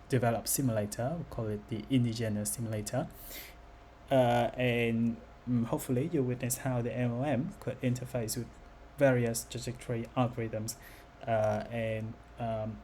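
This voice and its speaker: A man giving an unscripted software demo as its developer, speaking in a halting, hesitant way. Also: medium pitch. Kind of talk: unscripted screen-recorded demo narration. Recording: noticeable background noise.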